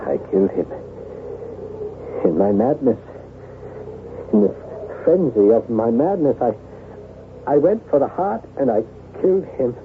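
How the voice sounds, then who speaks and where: An actor playing a brooding, guilt-ridden former soldier in a radio drama, speaking in a halting, earnest, melodramatic way. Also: male voice, mid-range voice, big pitch swings. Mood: remorseful. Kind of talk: 1970s radio drama acting. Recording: phone-like old radio broadcast, faint noise.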